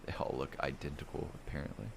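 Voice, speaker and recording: Very deep-voiced man reading a fantasy story. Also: quick pace, vocal fry, background noise. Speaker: storyteller, narrator. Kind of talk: first-person bedtime fantasy story reading.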